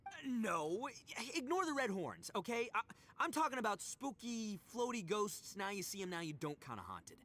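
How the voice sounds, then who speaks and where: A man's voice: high voice, very animated, normal speed, bright, forceful tone, a little hiss or hum, full character voice. A man voicing a brash, goofy young horned oni gang boss in a video game, blustering and boisterous. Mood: flustered bluster.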